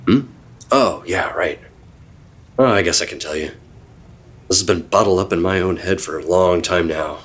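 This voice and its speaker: An actor playing a secretive, world-weary 1950s government agent, terse and deadpan, narrating an old-time radio drama. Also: male voice, sits low, normal speed, long pauses. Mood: weary, reflective. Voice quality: husky. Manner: terse, deadpan, hard-boiled.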